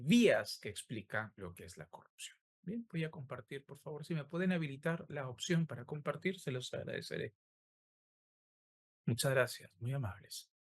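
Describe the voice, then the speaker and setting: A man giving an online law lecture over a video call, speaking with a sore throat and many hesitant filler sounds. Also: a little high.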